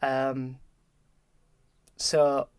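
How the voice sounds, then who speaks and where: A young man in his early twenties, a podcast guest talking candidly and haltingly, often repeating words. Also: medium pitch, completely flat delivery, a little mellow, clean tone, no room sound. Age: early 20s. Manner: halting, repeats words, open about struggles. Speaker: podcast guest, film graduate. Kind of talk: candid conversation.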